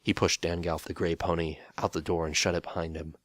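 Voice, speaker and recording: A man narrates a dramatic story reading in a steady, wry way. His voice is very low. The recording is close and dry. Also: fairly quick, no echo.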